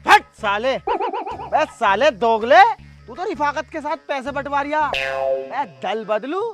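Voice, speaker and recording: A scornful village rival, played by a man, high-voiced and animated. He accuses in a comedy sketch, with faint music underneath. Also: slow pace, full character voice. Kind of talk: comedy skit acting.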